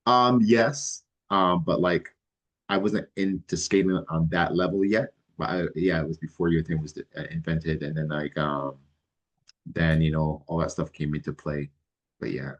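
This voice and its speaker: A middle-aged man from Barbados, answering interview questions in a relaxed, halting way with many pauses and restarts. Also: rumbling low, dull audio. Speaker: guest, licensed mental health counselor.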